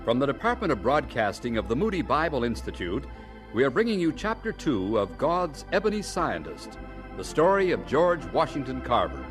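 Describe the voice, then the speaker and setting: A man announcing and questioning in a formal, measured old-time radio style. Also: mid-range voice, sing-song, music in the background.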